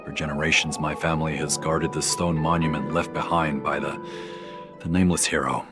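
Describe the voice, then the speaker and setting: An actor playing an earnest, reverent foreign keeper of an ancient monument in a video game. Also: male voice, very deep voice, little pitch movement, a little fast, music in the background. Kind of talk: scripted game voice acting.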